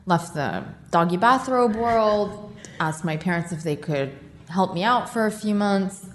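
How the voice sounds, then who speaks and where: A woman being interviewed on a podcast, telling her career story in an animated, self-mocking way. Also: mid-range voice, unhurried. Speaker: guest, war correspondent. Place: charity office, roomy. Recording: echoey room with faint noise.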